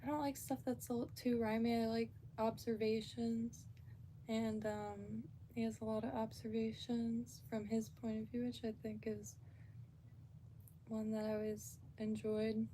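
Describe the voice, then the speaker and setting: A woman's voice: on the high side, level tone, measured pace, mellow, a little hiss or hum. A woman from Florida, chatting casually and rambling on a live stream with lots of fillers and restarts.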